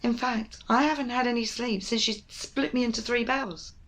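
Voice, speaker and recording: A woman's voice: on the high side, steady tone, moderate pace, fairly bright tone. A woman playing a wry, evasive young rescuer in an intimate ASMR audio roleplay, with a sardonic, hesitant manner. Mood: indignant, exasperated. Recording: noisy ambience behind close voice.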